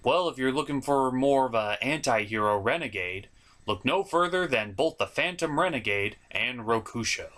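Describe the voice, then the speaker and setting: An animated man delivers scripted but informal review commentary as a show host. His medium-pitched voice swings widely in intonation. He is opinionated and chatty, often turning aside to address the viewer. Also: moderate pace.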